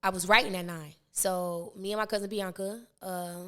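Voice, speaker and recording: A raspy-voiced woman in her twenties, a rapper talking as a podcast guest, rambling through her story with many fillers and restarts. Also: medium pitch, fairly even intonation, normal speed, fairly bright tone. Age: 20s. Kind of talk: casual interview chat.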